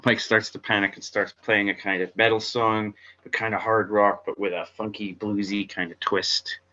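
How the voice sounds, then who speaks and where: A man's voice: fairly low pitch, little pitch movement, moderate pace, sharp tone. A man narrating scene headings and stage directions in a scripted table read. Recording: dull lo-fi audio.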